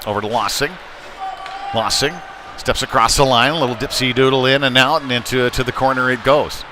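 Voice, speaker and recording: A man giving live ice hockey play-by-play in short clipped calls with hesitant pauses. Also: mid-range voice, fairly even intonation. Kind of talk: live sports commentary. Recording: clear background noise, live rink ambience. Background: ambient sports venue noise.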